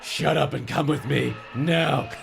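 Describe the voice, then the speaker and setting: A man voicing a menacing, impatient teenage boyfriend in a raspy, breathy, Batman-like growl for a mock-dramatic reading. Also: mid-range voice, a little slow, over music. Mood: urgent, menacing. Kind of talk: mock-dramatic audiobook dialogue.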